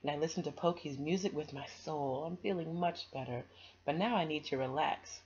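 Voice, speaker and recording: A woman's voice: sits low, normal speed, darkish tone, dull audio. A woman reading aloud as an earnest, thoughtful young girl in a children's storybook. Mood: earnest, content.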